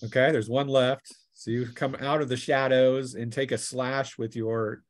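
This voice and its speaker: A man's voice: mid-range voice, steady tone, measured pace, a little hiss or hum. A man acting as game master, narrating a tabletop role-playing game in a casual, teasing way.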